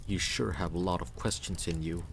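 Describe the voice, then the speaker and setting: A man's voice: deep voice, steady tone, moderate pace, gravelly, a little hiss or hum. A man voicing a guarded, aloof male stranger in a fantasy game, speaking in a dry, laconic, formal manner. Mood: guarded, terse.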